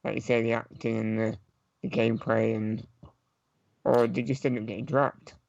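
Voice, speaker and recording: A man's voice: mid-range voice, flat delivery, moderate pace, creaky, a little mellow, no room sound. A man talking casually as a panellist on a gaming podcast, in a dry, slightly dull recording.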